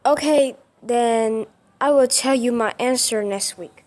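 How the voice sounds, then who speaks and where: A woman's voice: on the high side, takes it slowly, a little mellow. A woman playing an emotional young female student torn between two loves in an amateur radio play. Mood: hesitant.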